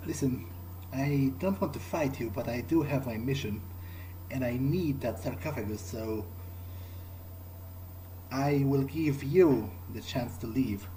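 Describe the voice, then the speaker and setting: A man's voice: medium pitch, unhurried, pauses often, dark tone, slight background noise, poor audio. A man doing in-character commentary on a video game playthrough, thinking aloud in short, hesitant phrases. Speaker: gamer playing in character. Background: game music.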